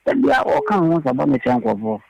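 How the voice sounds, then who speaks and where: A man's voice: fairly high pitch, huge pitch swings, fast talker, creaky, darkish tone, muffled recording. A man on a radio talk show, stammering and repeating words.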